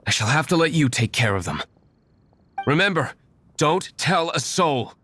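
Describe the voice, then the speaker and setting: A man playing an aloof, brooding young nobleman vigilante in a video game, speaking formally in a deadpan, dismissive way. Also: fairly high pitch, normal speed, a little hiss or hum. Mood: commanding.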